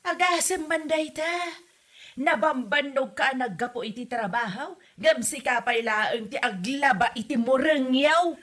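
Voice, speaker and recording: A woman's voice: high voice, a little slow, fairly bright tone. A woman playing a nagging mother-in-law who complains about the household to her grown son in exasperated, scolding comedy drama style. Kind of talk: comedy radio drama acting.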